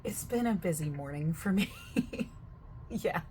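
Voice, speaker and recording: A young woman in a soft-spoken ASMR roleplay as a gym staff member, chatty and over-explaining with lots of fillers. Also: on the low side, expressive, measured pace, slight background noise.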